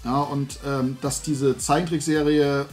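A man in his forties with a fairly high-pitched voice talks as the host of a casual show. He gives his opinions in a colloquial, animated rant. Music plays behind him as he speaks from a desk indoors. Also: normal speed. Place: small room at home, indoors, at a desk.

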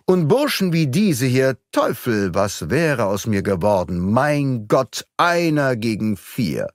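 A man voicing a boastful, mocking gentleman thief in an audiobook, with a theatrical, taunting manner. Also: mid-range voice, very animated, slow, dry recording, clean studio sound. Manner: taunting, theatrical, mock-friendly.

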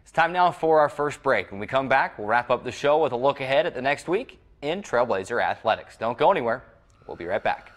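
A man's voice: mid-range voice, animated, brisk. A young man hosting a sports show from a studio desk, presenting a semi-scripted recap. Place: small indoor TV studio at a desk.

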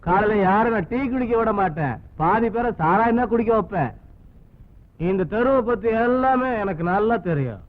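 A man's voice: high-pitched, animated, moderate pace. An actor playing a boastful young male street liquor seller, chatty and self-important. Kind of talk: film comedy scene. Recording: tinny, phone-like film audio.

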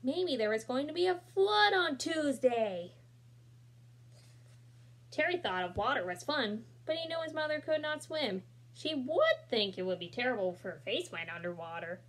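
A young woman reading a children's picture book aloud in a small room, expressive and adding casual asides, recorded on a laptop camera. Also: high-pitched, normal speed.

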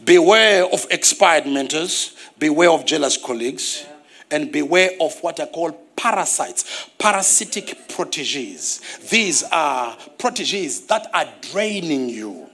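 Lively high-voiced Zimbabwean preacher man. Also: a little slow. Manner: animated, repeats key phrases, calls for responses. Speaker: pastor. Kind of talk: sermon. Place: church stage with stage lighting.

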